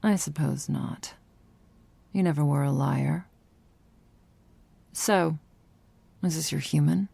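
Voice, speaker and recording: A woman's voice: low-pitched, takes it slowly, vocal fry, long pauses, warm tone, slightly rough, no echo, heavily acted. A woman voicing a cold, abrasive but protective vampire sister in an ASMR roleplay, blunt, aloof and sardonic. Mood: dry, suspicious.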